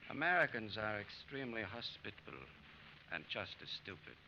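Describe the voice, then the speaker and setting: Low-voiced, arrogant escaped German officer. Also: male voice, big pitch swings, normal speed, vocal fry, bright, forceful tone, heavily acted. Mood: coolly contemptuous. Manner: curt, evasive, coolly condescending. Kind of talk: 1940s radio drama acting. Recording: muffled old radio broadcast with faint hiss.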